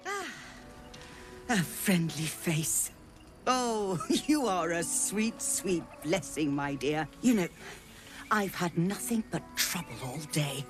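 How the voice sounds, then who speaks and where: An actress voicing a haughty, scheming merchant woman who talks theatrically and flatters to get her way. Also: lowish voice, slow pace, music in the background. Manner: theatrical, wheedling, affected.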